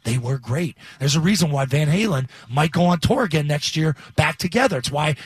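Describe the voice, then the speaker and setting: A man with a very husky, breathy voice that sits a little high for a man, hosting sports radio from a stadium studio. He spins stories fast and jumps into animated impressions. The sound is close and dry. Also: no echo. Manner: storytelling, animated impressions of others. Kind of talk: casual sports radio banter.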